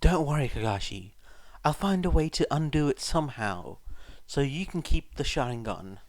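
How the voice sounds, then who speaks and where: A brash teenage boy in a story reading, acted out by a man. The voice jumps well above a grown man's register and comes out loud and indignant. He blurts and stammers, clueless and easily outraged. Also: mid-range voice, sing-song, normal speed, no echo, pitched above the performer's own voice. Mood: earnest.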